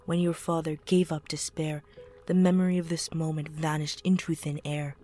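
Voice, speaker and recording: A woman's voice: sits low, level tone, moderate pace, natural voice. A woman voicing a mysterious female spirit guide in a fan audio drama, speaking in solemn rhymes. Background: soft music.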